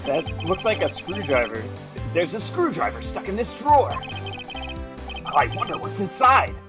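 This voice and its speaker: A woman's voice: lowish voice, very animated, normal speed, over music. A woman on a casual group game stream, reading dialogue aloud and commenting in a joking, sardonic way.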